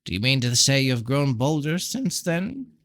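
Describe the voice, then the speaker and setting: A man's voice: medium pitch, very animated, normal speed, a bit bright, no room sound. A crafty, half-mad old sorcerer, as a male reader puts him on, dramatic and insistent. He speaks bluntly, in a dry, close sound from a fantasy audiobook. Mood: grave, urgent.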